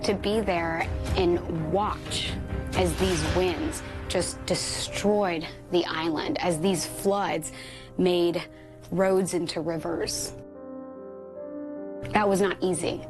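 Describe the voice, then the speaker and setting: A woman's voice: lowish voice, fairly even intonation, slow. A woman from Puerto Rico, a news correspondent giving a personal account in an interview over music.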